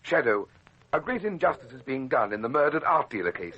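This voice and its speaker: An actor playing a devious, smooth-talking gang boss in an old Australian radio drama, husky, emphatic and melodramatic. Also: male voice, medium pitch, big pitch swings, brisk, sharp tone. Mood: earnest, insinuating. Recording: muffled lo-fi vintage radio recording.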